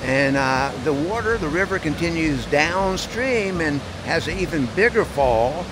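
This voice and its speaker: A man's voice: on the high side, animated, unhurried, background noise. A man in his sixties narrating a casual outdoor travel vlog in a plainspoken, folksy way. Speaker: travel vlogger and hiker. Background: music.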